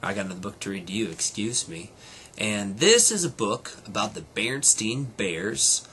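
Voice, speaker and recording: A man's voice: lowish voice, lively intonation, moderate pace, bright, forceful tone, slight background noise. A man, a teacher, reading a children's storybook aloud to students with casual little asides.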